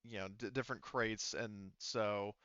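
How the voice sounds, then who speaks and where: A man running a tabletop roleplaying game, explaining casually and thinking out loud as he goes, often repeating himself. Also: on the low side, fairly even intonation, unhurried, clean tone, dry recording, lo-fi sound. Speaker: game master.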